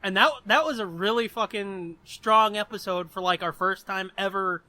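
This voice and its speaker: A man's voice: high-pitched, moderate pace, sharp tone, no echo, poor audio. A man co-hosting a podcast in casual chat, crude and swearing, laughing often. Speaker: podcast co-host.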